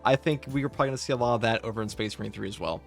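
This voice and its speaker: A man's voice: medium pitch, rapid-fire. A man hosting a casual gaming commentary discussion, speaking in a conversational, opinionated way. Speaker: video game channel host. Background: background music.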